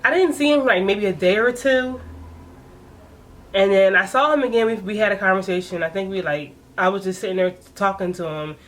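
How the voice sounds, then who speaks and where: A woman in her late twenties recounting a personal story straight to the camera at home. She is chatty and animated and laughs often. Her voice sits at a middle pitch. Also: a little fast. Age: 20s. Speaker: vlogger. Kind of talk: casual story time.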